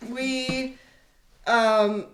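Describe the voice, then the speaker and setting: A woman's voice: on the high side, steady tone, extremely slow, a little hiss or hum. A woman speaking casually and reflectively in a conversation about her work as a producer. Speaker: producer and sound engineer. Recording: echoey room.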